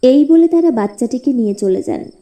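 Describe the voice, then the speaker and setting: Woman storyteller with a very soft, mellow tone. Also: high voice, expressive, fairly quick. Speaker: story narrator. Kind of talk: scripted cartoon moral story narration.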